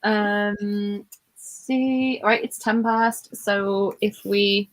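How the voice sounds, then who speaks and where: Scouse woman hosting a relaxed chat; warm giggles, filler-heavy talk. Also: mid-range voice, drawn-out words. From Liverpool, England. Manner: chatty, giggly, many ums. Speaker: host. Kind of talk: casual livestream chat.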